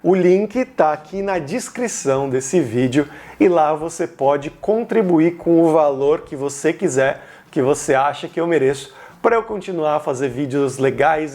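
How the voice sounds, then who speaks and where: A man's voice: a little high, animated, unhurried, a little mellow. A man with a Brazilian accent giving an informal language lesson, explaining didactically and stressing key words. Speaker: language teacher, video host. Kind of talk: informal grammar lesson to camera.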